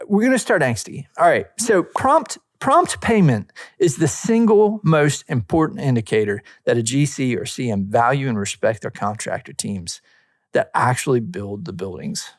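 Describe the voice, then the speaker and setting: A man co-hosting a podcast, chatting casually and reading aloud in informal, measured phrases with pointed emphasis. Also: medium pitch, sing-song, no room sound, studio quality. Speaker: podcast co-host. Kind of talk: casual podcast chat, reading listener messages aloud with short comments.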